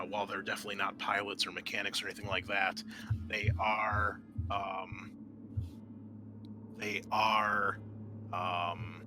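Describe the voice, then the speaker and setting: A man hosting a tabletop roleplaying game as game master, narrating off the cuff and pausing to think aloud. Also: on the low side, sharp tone.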